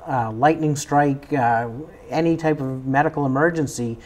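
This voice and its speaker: A man's voice: mid-range voice, moderate pace, darkish tone. A man with an American accent explaining procedures in an unscripted interview, as a member of a fire department.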